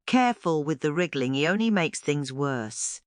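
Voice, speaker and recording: A woman's voice: low voice, expressive, moderate pace, clear, resonant tone, no room sound, clean studio sound. A woman voicing a friendly, chirpy female starfish who has long been stuck, in a children's storybook. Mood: resigned.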